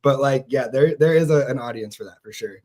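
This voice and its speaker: A man with a low voice, hoarse from recent illness, chatting casually as a podcast guest and hedging his picks. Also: a little fast, a little mellow.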